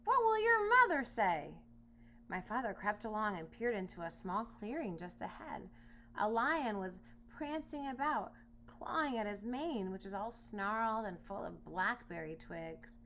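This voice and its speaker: A woman reading a children's storybook aloud in expressive narration. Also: medium pitch, a little slow. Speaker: storybook reader. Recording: noisy home recording with hum.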